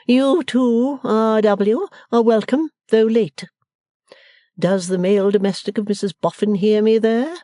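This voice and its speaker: A woman's voice: a little slow, mellow, dry recording, clean studio sound, close to an everyday voice. A woman voicing a solemn, majestic middle-aged mother in a storybook, stately, ceremonious and full of grand disapproval. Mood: gloomy, ceremonious. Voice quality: deep-toned.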